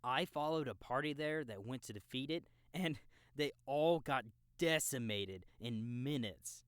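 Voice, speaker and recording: A man's voice: medium pitch, lively intonation, unhurried, clean tone, no room sound, studio quality, close to an everyday voice. A man playing an easygoing young experienced game player who explains the world to a newbie in a casual, knowing way, in an audiobook. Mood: knowing, explanatory. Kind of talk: audiobook character acting, explanatory dialogue.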